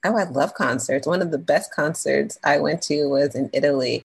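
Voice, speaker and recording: A woman guest on a podcast, an animated storyteller who talks in slang and acts out what people said. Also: sits low, moderate pace, gravelly, breathy edge. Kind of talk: casual interview chat.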